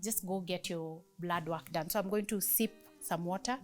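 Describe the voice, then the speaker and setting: A woman guest talking about her own health condition, hesitant and halting, with frequent fillers as she explains. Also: fairly low pitch, soft background music. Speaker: guest sharing a personal health story. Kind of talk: demonstrating and explaining.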